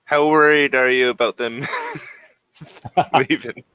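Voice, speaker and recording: A man running a tabletop role-playing game, narrating casually and haltingly, pausing to find his words. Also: medium pitch, steady tone, pushed and bright, smooth, no echo. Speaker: game master.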